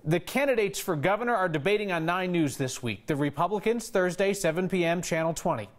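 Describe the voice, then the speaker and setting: Higher-voiced male news anchor. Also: expressive, brisk. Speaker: news anchor and debate moderator. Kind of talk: conversational broadcast news delivery.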